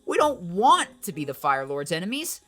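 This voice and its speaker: A man voicing a witty teenage boy warrior in a fan-made animated comic dub, arguing in a mock-formal, persuasive way. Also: sits high, normal speed, exaggerated voice.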